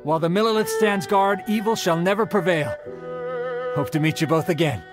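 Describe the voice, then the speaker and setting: A man acts an upright, courteous male soldier in an anime video game. His voice sits somewhat high and he speaks with formal earnestness, over clear background music. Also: a little fast, lightly acted. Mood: respectful, resolute.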